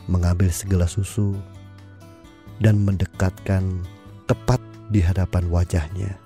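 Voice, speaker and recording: Man, audio drama narrator, low pitch, calm measured delivery, soft background music. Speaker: storyteller.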